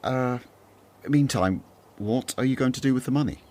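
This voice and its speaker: An actor with a Northern Irish accent playing Matthew, an earnest, gentle former tax collector, in a radio drama. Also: male voice, mid-range voice, moderate pace, close to an everyday voice.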